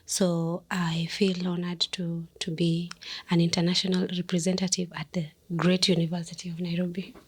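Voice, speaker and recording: A young woman from South Sudan speaks as her university's international student representative. Her voice is low for a woman and stays flat and even, and she pauses now and then to find her words. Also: husky. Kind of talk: interview answers.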